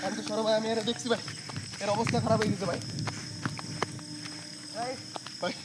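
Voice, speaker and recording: A man shouts urgent warnings in a high, rushed voice. He sounds frantic and breathless with alarm. The outdoor night recording carries a clear hiss of noise. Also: steady tone. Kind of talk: frantic live commentary.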